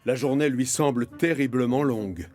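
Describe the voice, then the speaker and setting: A man narrating a children's story from a script in an expressive storytelling manner. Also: mid-range voice, normal speed.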